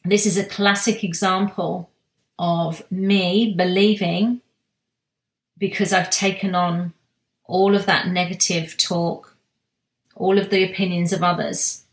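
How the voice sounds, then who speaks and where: A woman giving a slow, reflective spiritual talk, soft-spoken, with long pauses and restarts. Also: fairly low pitch, fairly even intonation, roomy sound. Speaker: spiritual guide sharing monthly energy reading.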